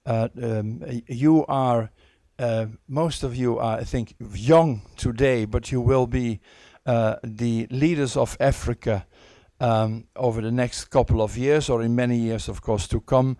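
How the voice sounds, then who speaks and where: Diplomat, male, gravelly mellow voice, hesitant with fillers, formal address. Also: mid-range voice, steady tone, a little slow, no echo, clean studio sound. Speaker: ambassador.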